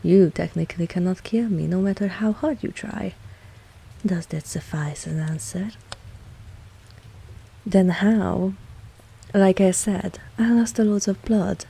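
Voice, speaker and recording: A woman playing a dominant, seductive vampire mistress in an intimate ASMR roleplay, speaking softly and with measured, coolly protective authority. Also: mid-range voice, creaky, slightly rough, low-quality recording. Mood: cool, teasing.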